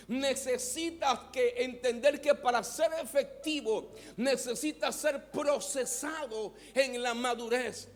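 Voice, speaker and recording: A man with a Mexican Spanish accent, preaching a sermon as pastor and having the congregation repeat words after him. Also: very high voice.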